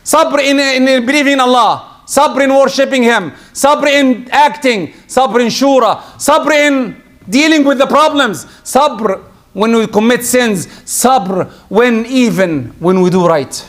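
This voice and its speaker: A man giving a Friday sermon in a high voice, switching into quick recitation and prayers. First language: Arabic. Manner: lively preaching, quick Arabic recitation and prayers. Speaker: preacher.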